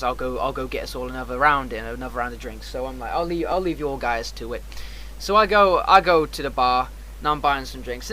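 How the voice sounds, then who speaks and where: A man casually telling a rambling, animated anecdote on a livestream, acting out the dialogue and swearing often. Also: fairly high pitch. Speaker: streamer. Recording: clearly noisy background.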